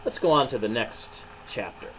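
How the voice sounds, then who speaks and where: A man with a mid-pitched voice talks as a pastor to young listeners about a storybook. He proceeds haltingly, pausing as he goes. There is some light background noise. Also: lively intonation, a bit bright, rough recording. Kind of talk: children's storybook reading with short reflections.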